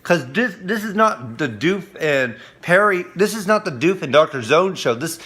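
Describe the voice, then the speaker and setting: Chatty man with a higher voice. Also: big pitch swings, normal speed, sharp tone, slightly rough. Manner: rambling, hesitant with many fillers. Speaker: co-host reviewing cartoons. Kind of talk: casual chat review.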